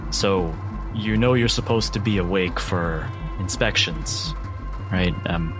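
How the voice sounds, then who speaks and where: A man's voice: deep voice, takes it slowly, music in the background. A man playing a matter-of-fact, mildly officious male asylum orderly in a tabletop game. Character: heavy-set bald male asylum orderly, routine-minded.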